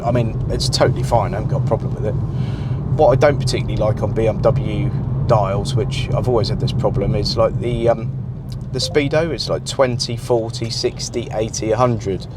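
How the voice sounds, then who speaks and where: Croaky British man reviewing his car. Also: medium pitch. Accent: British English. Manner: chatty, wry, grumbling about small gripes. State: croaky and nasal from a cold. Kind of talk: casual car review vlog. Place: driving a car on suburban roads. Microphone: clip-on lapel mic. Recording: loud car noise in background.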